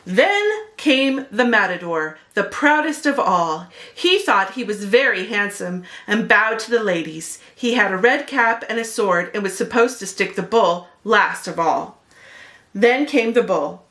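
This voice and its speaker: A woman's voice: medium pitch, animated, unhurried, fairly bright tone. A woman narrating a children's picture book expressively, as a read-aloud host speaking to young listeners.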